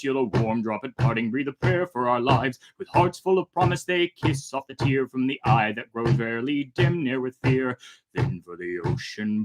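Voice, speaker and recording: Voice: mid-range voice, natural voice. A storyteller voicing a hearty old-time whaling sailor who declaims sea shanty verse in a rousing, emphatic way.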